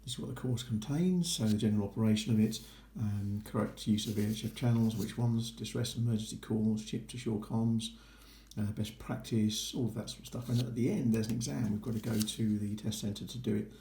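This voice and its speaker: A man's voice: fairly low pitch, steady tone, fairly quick, dark tone. A man giving a casual, informal, approving walkthrough as a vlogger. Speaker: vlogger documenting a boating course. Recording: roomy echo with faint noise.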